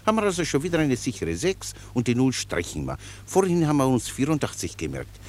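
An actor in a German radio play, playing a cheerful, long-winded common soldier who explains things pedantically with naive obedience. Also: male voice, medium pitch, huge pitch swings, quick pace. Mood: chatty, unruffled. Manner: chatty, rambling, pedantically explanatory.

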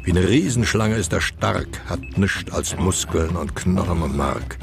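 A sardonic radio-play balladeer with a very deep, gravelly voice. He delivers mocking rhymes over clearly audible music. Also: animated, measured pace, heavily acted. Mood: mocking, sly. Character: sardonic, mocking male balladeer commenting on the hero. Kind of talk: radio drama narration.

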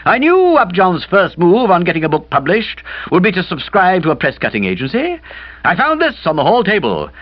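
A man voicing a forceful older aunt, who speaks loudly and emphatically. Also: high voice, huge pitch swings, a little fast, no room sound, lo-fi sound, pitched above the performer's own voice, heavily acted. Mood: brisk. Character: brisk, forceful older aunt, bossy and hearty.

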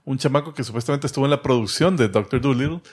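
A man's voice: medium pitch, fast talker, husky. A man co-hosting a casual pop culture podcast, rambling and restarting often. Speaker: podcast co-host. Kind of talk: casual chat.

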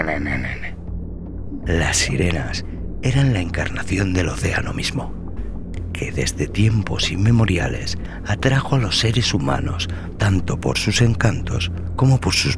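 A man with a very low voice reads a dramatic script as a storytelling host. His delivery is slow, deliberate and solemn, with a theatrical weight to the phrasing. Music plays clearly behind his voice. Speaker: podcast host and narrator.

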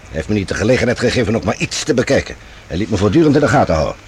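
A male actor in a radio play as a composed, scheming general, speaking formally and with measured authority. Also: fairly low pitch, sing-song, darkish tone, a little hiss or hum. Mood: guarded, businesslike.

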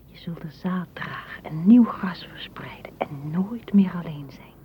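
An actress in a radio play playing a dreamy, tender woman gardener, speaking softly and poetically, often in a whisper. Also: fairly low pitch, unhurried, lightly acted. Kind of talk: radio drama acting. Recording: muffled, noisy old radio recording.

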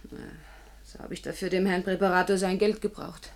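A woman's voice: fairly low pitch, flat delivery, fairly quick, close to an everyday voice. Actress as poor, resigned young woman. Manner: plain, subdued, defensive. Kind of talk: radio play acting.

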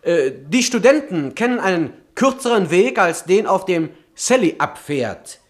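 Ein Schauspieler spielt in einem deutschen Hörspiel einen vorlauten jungen Drehbuchautor mit schwungvoller, flapsiger Art. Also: male voice, sits high, measured pace. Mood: excited pitching. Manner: animated, showy, flippant. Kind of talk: German radio drama acting.

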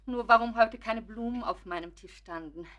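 A woman acts a flustered spinster neighbour in a radio drama. Her voice is high and very bright, and she chatters on with coy protests, shyly smitten and fussy. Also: normal speed, lightly acted. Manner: flustered, rambling, coyly protesting. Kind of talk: German radio play acting.

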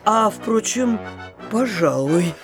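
An airy young dandy and brazen suitor in a classic stage comedy. He talks high and unhurried, hesitating affectedly and then turning melodramatic, over prominent music. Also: animated, exaggerated voice. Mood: sly, casual. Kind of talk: theatrical classic comedy audio play acting.

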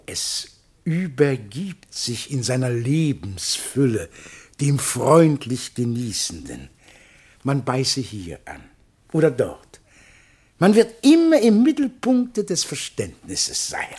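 Long-winded learned guide, radio drama, florid lecturing, husky tone, very animated melody. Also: male voice, mid-range voice, takes it slowly, heavily acted. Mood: grandiose, solemn. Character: pompous, long-winded male scholar showing off paintings to a prince. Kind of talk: German radio play acting.

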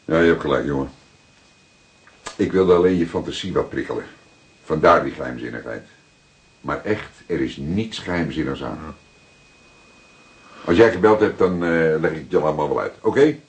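A seasoned Dutch police detective, older and paternal, with a very husky, low voice. His delivery is methodical and emphatic, swinging widely in pitch as he reasons through a crime drama. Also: animated, exaggerated voice. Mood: calm, explanatory. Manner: deliberate, emphatic, repeating words for effect. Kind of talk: dramatic detective dialogue acting.

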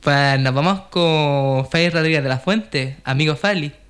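A man's voice: on the high side, moderate pace. A man with a Spanish accent chatting casually as a contributor on a radio show. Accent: Spain. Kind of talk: casual chat. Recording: loud music behind the voice. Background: loud music.

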